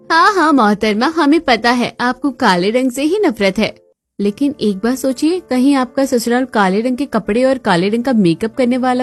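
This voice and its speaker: A woman acting the dramatic, expressive daughter and chatty female relatives of a cartoon family story. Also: high voice. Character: young unmarried daughter and her chatty women relatives, expressive. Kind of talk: Hindi cartoon story dialogue. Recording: music bed behind the voice.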